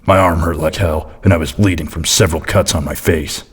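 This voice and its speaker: An actor playing a boozy, crude, surly detective in a deadpan hardboiled noir parody, grumbling gruffly. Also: male voice, rumbling low, a little fast. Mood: world-weary deadpan. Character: boozy, crude, surly pill-popping male private detective.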